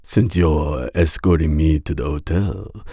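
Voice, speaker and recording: A man playing a masked villain mercenary in an ASMR roleplay, speaking in a formal, deliberate, seductive and menacing way. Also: extremely low pitch, mellow, no room sound, clean studio sound, exaggerated voice. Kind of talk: ASMR roleplay audio drama.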